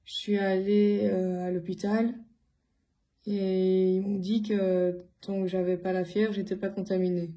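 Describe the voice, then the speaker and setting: Mellow, even-toned, hesitant woman. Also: mid-range voice. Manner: hesitant, halting delivery with frequent long filled pauses. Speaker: vlogger addressing followers. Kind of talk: casual personal account.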